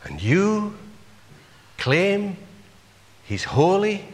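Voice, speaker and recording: A man's voice: high voice, huge pitch swings, drawn-out words, big-room sound. A man preaching a sermon, earnestly putting rhetorical questions to his congregation.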